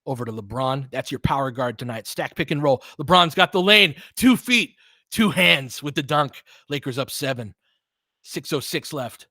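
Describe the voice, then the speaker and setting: A man hosts live basketball play-by-play in a casual, analytical way. He talks like a fan chatting with listeners, with mild slang, at a middling pitch. The sound is close and dry, with very little room. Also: lively intonation, normal speed, bright, forceful tone, dry recording. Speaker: play-by-play commentator and host.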